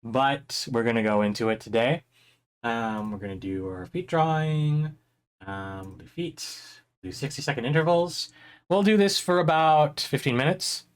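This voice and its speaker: Slightly hoarse man teaching drawing on stream. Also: medium pitch, unhurried. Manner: chatty, rambling, with many fillers and laughs. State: a little hoarse. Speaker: art instructor streaming a drawing workshop. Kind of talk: casual live-stream teaching.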